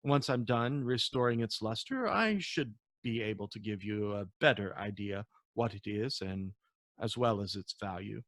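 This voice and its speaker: A man voicing a courteous, bookish antique dealer in a storybook, with a formal, measured and eloquent manner. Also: lowish voice, a bit bright, dry recording. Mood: courteous, assured.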